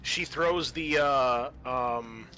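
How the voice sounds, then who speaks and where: A man with a bright, forward voice works as game master for a tabletop horror game. He describes scenes graphically in halting, informal speech that can turn sweary. Faint music plays behind him. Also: mid-range voice.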